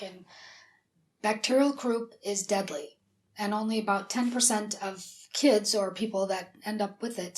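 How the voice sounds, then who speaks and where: A woman with a raspy, breathy voice talks as an interview guest, telling personal stories in an open, chatty way. She is from South Dakota and speaks from a studio. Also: mid-range voice. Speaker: whistleblower guest.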